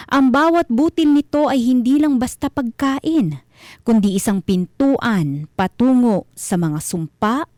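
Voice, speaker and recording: A woman with a Filipino accent narrating scripted horror stories as a radio host, in a deliberate, dramatic manner. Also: mid-range voice, dark tone, no room sound, studio quality.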